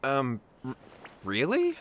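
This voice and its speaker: A man's voice: mid-range voice, huge pitch swings, takes it slowly, a bit bright, full character voice. A man voicing an earnest, anxious young supplicant in a video game, pleading, deferential and hesitant. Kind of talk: video game character acting. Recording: game music behind the voice.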